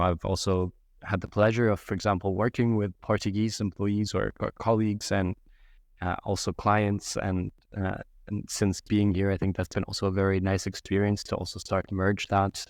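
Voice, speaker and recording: Low, flat-voiced man answering thoughtfully. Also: dry recording, studio quality. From Yemen. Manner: measured, thoughtful, many fillers. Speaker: podcast guest. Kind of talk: reflective interview answers.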